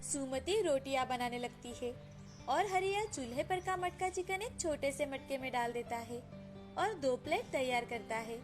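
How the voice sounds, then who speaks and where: A woman's voice: sits high, animated, moderate pace, music in the background. A woman narrating a scripted children's moral story as storyteller.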